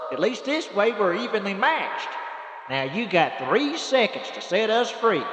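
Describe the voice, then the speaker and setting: A scrappy, stubborn American country everyman for a radio horror comedy. He talks with folksy bluster in a high, bright, animated voice. The sound is echoey, with long hall reverb. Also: measured pace, slight background noise, heavily acted. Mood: indignant, feisty.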